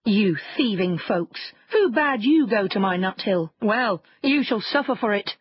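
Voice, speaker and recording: A woman's voice: medium pitch, expressive, a little slow, slightly rough, lo-fi sound. A woman voicing an indignant, scolding duck in a children's fairy tale audiobook.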